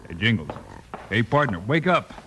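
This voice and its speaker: An actor playing a steady, heroic cowboy lawman in a radio Western, firm and composed, with an American accent. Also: medium pitch, normal speed, natural voice. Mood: urgent. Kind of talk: old-time radio Western acting. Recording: noisy vintage radio recording.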